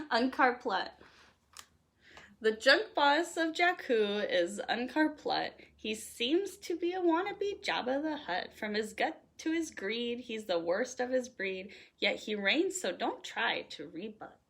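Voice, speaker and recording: A woman reading a children's picture book aloud in an animated, playful way, leaning on the rhymes. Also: fairly high pitch, slow pace. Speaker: storytime host reading aloud.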